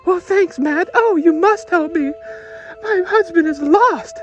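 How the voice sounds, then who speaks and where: A man's voice: very high-pitched, measured pace, fairly bright tone, muffled recording, soft background music, voice pushed up. A man voicing a distraught, pleading wife as a melodramatic client in a 1940s detective radio parody.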